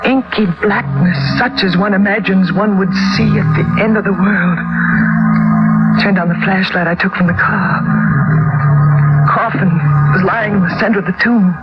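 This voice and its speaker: An actress playing a frightened, determined wife who narrates a gothic horror story in intense 1940s radio drama style. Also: female voice, medium pitch, fairly even intonation, moderate pace, natural voice. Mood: fearful, tense. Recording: dull vintage radio recording, loud music behind.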